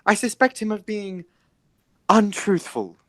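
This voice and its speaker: An actor playing a formal, dryly polite Victorian gentleman guardian in a radio comedy, speaking with measured emphasis. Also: medium pitch, lively intonation, no room sound, clean studio sound. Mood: firm, disapproving. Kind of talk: stage comedy as amateur radio play.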